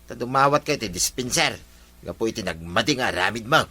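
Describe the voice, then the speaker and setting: A man's voice: mid-range voice, animated, brisk, sharp tone. A man playing a nagging, reproachful village man in a radio drama.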